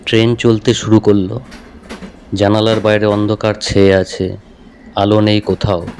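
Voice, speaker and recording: A man's voice: on the low side, little pitch movement, measured pace, darkish tone, a little hiss or hum. A man giving a scripted first-person narration of a horror story. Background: music.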